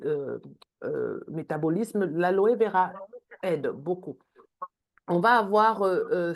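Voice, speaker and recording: A woman's voice: lowish voice, darkish tone. A woman giving a product training on a video call, with frequent hesitations and repeated words. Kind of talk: online training talk.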